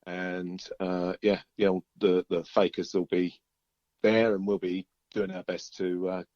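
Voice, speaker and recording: A man's voice: sits low, level tone, measured pace, darkish tone, no room sound. A man chatting casually as an interviewed musician, easygoing and rambling, over a lo-fi remote link. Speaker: band musician being interviewed. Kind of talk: casual chat.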